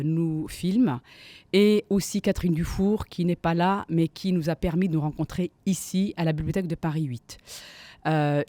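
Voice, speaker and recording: A woman's voice: fairly low pitch, no echo. A woman lecturer hosting a literary event in a university library, introducing and thanking people while hunting for her words now and then. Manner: warm, searching for words, fillers. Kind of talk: welcoming introduction.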